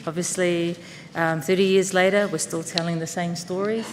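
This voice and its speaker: A woman with a New Zealand accent gives a relaxed speech from a conference stage, with many ums and Māori words. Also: lowish voice, moderate pace. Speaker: conference presenter. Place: conference hall with audience. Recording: reverberant hall with crowd and faint noise.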